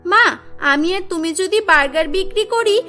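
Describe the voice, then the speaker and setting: A woman's voice: very high-pitched, animated, measured pace, pushed and bright, faint music behind, full character voice. A woman voicing an innocent, sweet and earnest little girl in a cartoon story. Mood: eager. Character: innocent, clever little girl, loving daughter.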